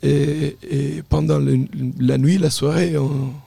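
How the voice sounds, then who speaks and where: Halting Italian-accented young man, gravelly. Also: on the high side, little pitch movement, warm tone, no room sound. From Italy. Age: 20s. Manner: halting, searching for French words. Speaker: guest, climate activist. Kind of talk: radio interview.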